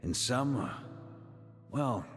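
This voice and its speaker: A man playing a calm, fatherly, seasoned knight commander in a video game, measured and earnest. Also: mid-range voice. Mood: solemn, reassuring. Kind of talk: video game character acting. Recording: long reverb, faint music behind.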